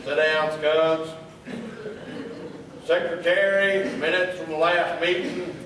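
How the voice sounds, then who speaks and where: A man's voice: fairly high pitch, steady tone, slow, a crowd in the background. A man playing a pompous, dim lodge leader with a ceremonious, dismissive manner in a comic radio play. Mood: solemn, pompous. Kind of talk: comic radio theatre acting. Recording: echoey hall, distant mic, faint noise.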